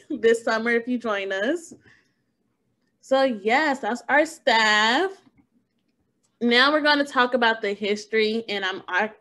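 Casual young woman hosting a video call. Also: on the high side. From Oakland, California. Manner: relaxed, chatty, laughs easily. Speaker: academic preparation specialist, meeting host.

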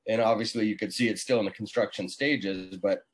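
A man's voice: on the low side, fairly even intonation, a little fast, breathy edge. A man in his forties, an easygoing contractor talking casually as a guest on a video call. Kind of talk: casual interview talk. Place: small indoor room, video call. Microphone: computer mic on a video call.

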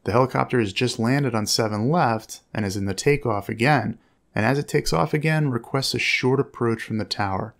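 A man giving measured, instructive commentary on air traffic audio as a narrator. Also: mid-range voice, vocal fry.

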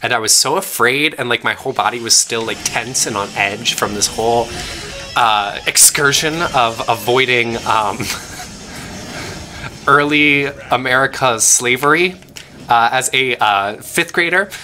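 A man's voice: mid-range voice, a little slow, over music. A young man from Pennsylvania telling a rambling story with lots of fillers while co-hosting a game playthrough. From Pennsylvania, USA. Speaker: let's play co-host. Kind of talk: casual chat over gameplay.

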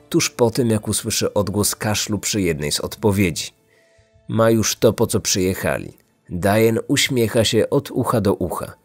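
A man's voice: lowish voice, slightly rough. A man in his thirties narrating a scripted true-crime story as a podcast host. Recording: music under the voice.

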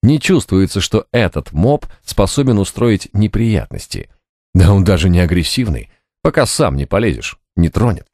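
A man narrating a fantasy novel as an audiobook, with an expressive storytelling delivery. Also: low voice, moderate pace, dry recording, clean studio sound. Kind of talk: scripted fiction narration. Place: dry recording studio.

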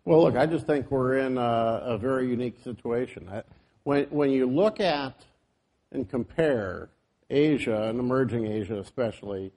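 A man's voice: medium pitch, moderate pace, warm tone. A man speaking as a business panelist in a panel discussion, talking conversationally with many filler phrases.

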